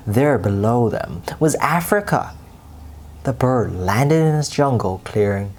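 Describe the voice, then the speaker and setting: Very low-pitched, animated woman storyteller. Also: breathy edge. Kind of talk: children's bedtime story read-aloud.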